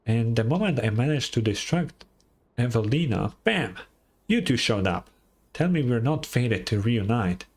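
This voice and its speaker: An overconfident schemer from a fantasy game, played by a man reading aloud. The voice sits at a middling pitch with music behind it, breezy and grandiose, forever selling a flawless plan. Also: lively intonation, a little slow, darkish tone, breathy edge. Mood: grandiose, confident. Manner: grandiose, breezy, self-assured. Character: boastful, overconfident schemer, self-styled moon-goddess devotee and inventor.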